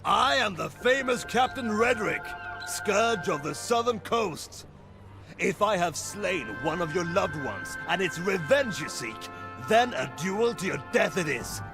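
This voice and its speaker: Fantasy game pirate captain: menacing, contemptuous, swaggering, gravelly and very animated. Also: male voice, high voice, a little slow, heavily acted. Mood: boastful, threatening. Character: boastful, menacing male pirate slaver captain. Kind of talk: fantasy video game voice acting. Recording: game music behind.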